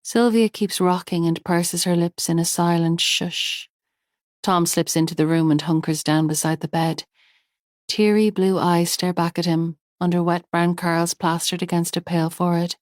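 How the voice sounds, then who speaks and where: A woman narrating a thriller audiobook in a measured, tense storytelling manner. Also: fairly low pitch, steady tone, dry recording, studio quality.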